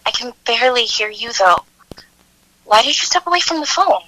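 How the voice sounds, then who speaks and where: A woman's voice: fairly high pitch, expressive, fairly quick, sharp tone, no room sound, poor audio. A woman playing an anxious, needy caller asking for help in a comedy phone sketch. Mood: puzzled, let down.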